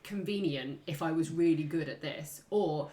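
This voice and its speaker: A woman's voice: sits low, normal speed, warm tone, echoey room. A woman chatting casually as a player on a role-playing podcast. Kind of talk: casual group chat.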